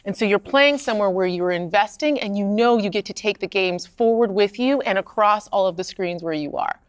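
A woman's voice: a little fast, dry recording. A woman executive giving confident, polished answers on a podcast set. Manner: polished, confident pitch-like delivery. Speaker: gaming company executive. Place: studio set.

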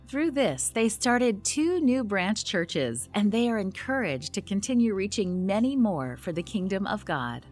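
A woman narrates a documentary in a measured, expressive read. Her pitch moves a lot, and faint music plays under her.

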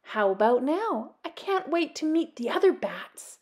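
A woman voicing an eager, sweet young boy bat from a storybook, excitable and childlike. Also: high-pitched, a little slow, voice pushed up. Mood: impatient, excited.

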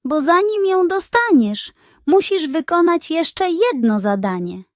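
A woman voicing a sly, scheming, excitable male pug in a children's storybook, with a theatrical, flattering manner. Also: very high-pitched, measured pace, no echo, studio quality, pitched above the performer's own voice, exaggerated voice. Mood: coaxing.

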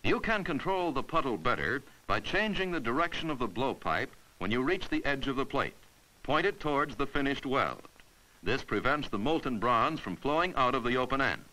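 A man giving scripted instructional narration for a 1950s educational film, patient and clear as he walks through each step. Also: medium pitch, very animated, normal speed, bright, forceful tone, rough recording.

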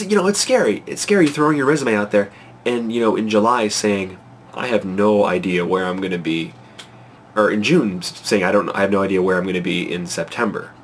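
A young man in his twenties, congested from a cold, rambling anxiously and excitedly with many fillers in a casual to-camera vlog, recorded on a close webcam in a small bedroom at night. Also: lowish voice, slight background noise. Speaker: vlogger. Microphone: webcam at close range.

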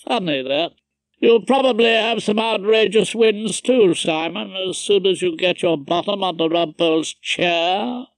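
A man's voice: sits high, measured pace, no echo, pitched above the performer's own voice, low-quality recording. A man voicing a pompous, condescending older male judge in an audiobook, with a haughty drawl. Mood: smug.

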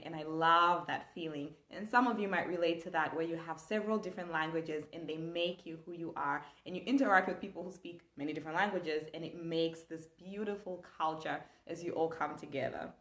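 A woman's voice: lowish voice, echoey room. A young woman from Zambia, teaching an online language lesson with enthusiasm, gesturing as she talks. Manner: animated, gestures a lot, enthusiastic. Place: small room indoors.

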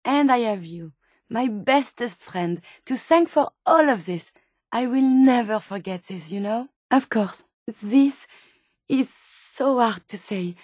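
An actress voicing a gentle, earnest female robot scientist turned human in a video game, with a French accent and formal, precise phrasing. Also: a little high, lively intonation, slow, husky, no echo, clean studio sound, heavily acted. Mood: tender, grateful. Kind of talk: video game character dialogue.

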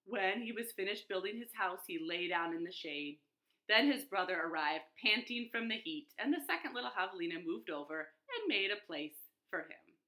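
An American woman in her thirties reading a picture book aloud to young children, expressive and clearly phrased. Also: mid-range voice, normal speed, low-quality recording. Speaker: children's librarian, storytime reader. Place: living room at home.

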